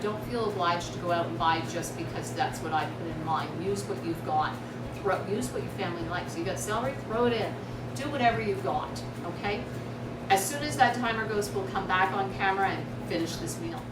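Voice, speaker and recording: A woman hosting a casual cooking demonstration, chatty and instructive, often checking in with a quick "okay?". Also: mid-range voice. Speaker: cooking show host. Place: indoor kitchen. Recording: roomy, distant mic, loud sizzling noise. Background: food frying and sizzling.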